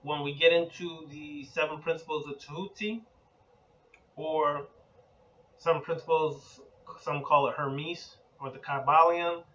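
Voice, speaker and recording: A man's voice: fairly high pitch, fairly bright tone, muffled recording. Man lecturing from a distant mic. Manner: measured, deliberate, builds in spoken lists. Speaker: occult teacher. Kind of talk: spoken lecture.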